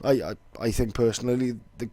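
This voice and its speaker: A man's voice: mid-range voice, steady tone, fairly quick, mellow, dry recording. A young man with a Welsh accent, casually explaining in a rambling, sweary way with lots of fillers. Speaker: vlogger.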